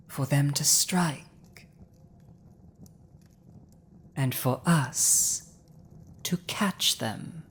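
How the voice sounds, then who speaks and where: Casting: female actor as villainous dragon queen, lowish register, very unhurried, haughty and teasing, for intimate roleplay audio drama. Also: husky, full character voice. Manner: languid, theatrical, haughty delivery with dramatic pauses.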